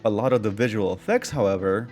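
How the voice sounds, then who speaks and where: A man's voice: fairly low pitch, fast talker. A man reviewing a film in casual, scripted video-essay narration with an informal manner. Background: background music under voice-over.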